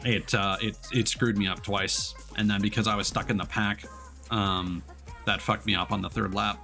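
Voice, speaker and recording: A man's voice: fairly low pitch, level tone, moderate pace, gravelly, pushed and bright, soft background music. A man streaming a game with casual, informal, sweary live commentary. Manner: informal, sweary, self-critical. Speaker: streamer, VTuber.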